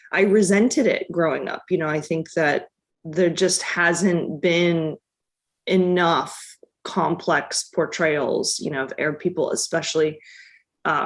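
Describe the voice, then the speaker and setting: A woman, a documentary director, answering interview questions in a reflective, casual way with many restarts and fillers. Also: low voice. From United States.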